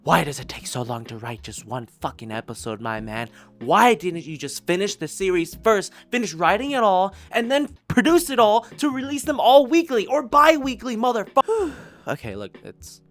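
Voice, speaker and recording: A man giving a casual, sweary video review of audio drama podcasts in an expressive, informal way. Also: sits high, moderate pace, hardly any pauses. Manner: expressive, foul-mouthed, informal. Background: background music.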